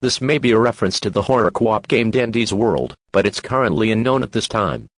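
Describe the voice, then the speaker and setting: A man's voice: on the low side, animated, fairly quick, dry recording. A man playing a formal news correspondent, reading a scripted broadcast report. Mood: matter-of-fact. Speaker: news correspondent and alert announcer.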